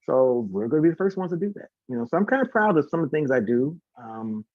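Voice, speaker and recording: A man's voice: fairly high pitch, big pitch swings, quick pace, no room sound, phone-quality sound. A man chatting casually and informally as an interview guest, talkative and prone to repeating words for emphasis. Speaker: guest, singer and concert organizer.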